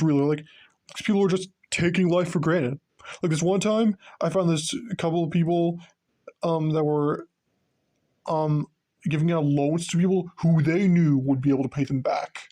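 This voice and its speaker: Man as casual serial killer, comedic skit, defensive, halting, offhand, mellow, slightly high voice. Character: casual, self-justifying male serial killer. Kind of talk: comedic conversational monologue.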